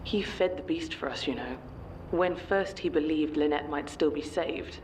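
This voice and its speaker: A woman's voice: low voice, normal speed, darkish tone, a little hiss or hum, rough recording. A woman playing an embittered, devout cult follower, recounting in a formal, disdainful audio drama monologue. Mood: bitter, reminiscing.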